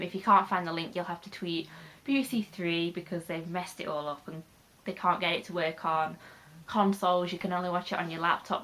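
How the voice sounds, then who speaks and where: A woman's voice: lowish voice, sharp tone, rough recording. A young British woman with a slightly nasal voice from a cold, rambling chattily through a casual vlog. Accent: British English. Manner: rambling, chatty, with frequent fillers. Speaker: YouTuber.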